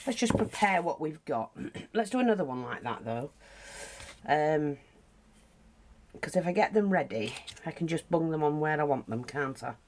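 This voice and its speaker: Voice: mid-range voice, expressive, moderate pace, slight background noise. A craft teacher with a British accent, nose bunged up, chatting casually through a tutorial with frequent asides. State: blocked nose.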